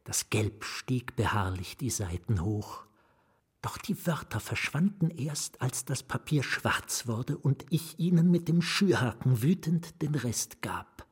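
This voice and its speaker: Husky, haunted novelist, slow and breathy. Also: male voice, mid-range voice, lively intonation, full character voice. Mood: haunted, intense. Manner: deliberate, dropping into whispers. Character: anguished, fearful male novelist recounting his ruin. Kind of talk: literary radio drama first-person narration.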